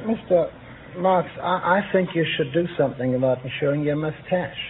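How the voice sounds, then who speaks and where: A man's voice: on the high side, moderate pace. A man answering as a quiz contestant in a polite, earnest, slightly hesitant way. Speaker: insurance broker, quiz contestant. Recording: tinny old radio recording, faint noise.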